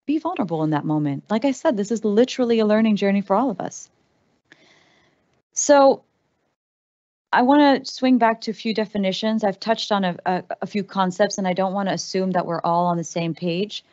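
Woman in her 30s from the Netherlands, keynote speaker; lively, chatty stage delivery on a headset mic, mid-pitched, slightly dull recording. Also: brisk. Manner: animated, conversational, frequent fillers. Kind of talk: conference talk. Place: large stage hall.

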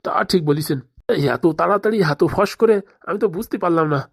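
A woman's voice: lowish voice, fast, gravelly, no echo, clean studio sound. A woman voicing a fawning, gullible middle-aged male politician in a cartoon, wordy and deferential. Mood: impressed. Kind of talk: amateur cartoon voice acting.

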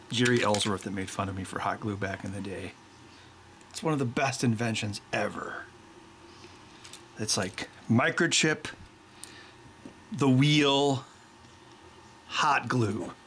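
A man's voice: on the low side, fairly bright tone, slight background noise. A middle-aged man at a workbench, explaining his soldering in a chatty way and drifting into joking tangents. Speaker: electronics maker and show host. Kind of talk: casual explanation while working.

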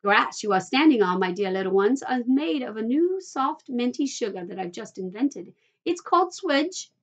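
A woman's voice: on the high side, expressive, normal speed, dull audio. A woman voicing an exuberant, boastful male factory owner from a children's storybook, repeating phrases for emphasis. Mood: proud, eager.

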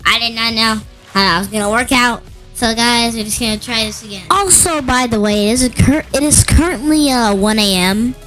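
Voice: medium pitch, normal speed, rough recording. A gamer with a woman-like voice through a voice changer, chatting with teammates and commentating while playing. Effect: voice changer raising the voice. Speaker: gamer recording a trolling video. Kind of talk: gaming commentary and chat with teammates. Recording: loud music behind.

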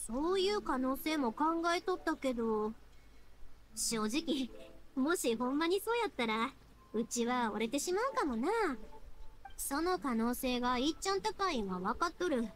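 A woman voicing a bright, earnest young fireworks maker in an anime game, heartfelt and folksy, with a Kansai accent. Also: sits high, big pitch swings, normal speed. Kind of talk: Japanese anime game voice acting.

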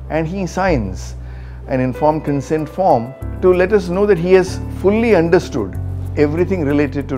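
A middle-aged man with an Indian accent gives a doctor's explanation to camera. His voice sits a little high for a man and has a soft tone. Light music runs underneath. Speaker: senior doctor, hospital director. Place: book-lined office indoors.

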